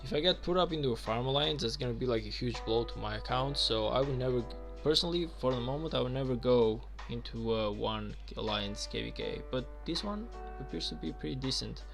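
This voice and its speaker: A man's voice: medium pitch, a little fast, creaky, hardly any pauses, over music. A young man from Albania, chatting casually on a game stream and answering viewer questions with lots of fillers. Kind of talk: casual live-stream chat.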